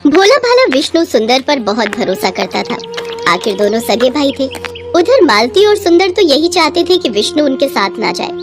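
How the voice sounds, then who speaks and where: High-voiced woman narrating a moral story. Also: very animated, normal speed, music in the background.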